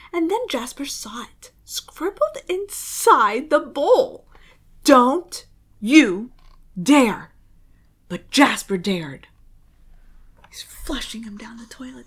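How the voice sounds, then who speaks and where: A woman reading a spooky children's story aloud, expressive and suspenseful, with dramatic hushed moments. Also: high voice. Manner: expressive, suspenseful, often dropping to a dramatic whisper. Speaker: children's book reader.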